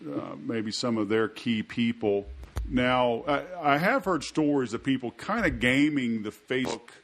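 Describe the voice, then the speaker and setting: A man's voice: mid-range voice, lively intonation, measured pace. Chatty podcast host, man. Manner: loose, chatty, joking asides. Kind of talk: casual interview chat.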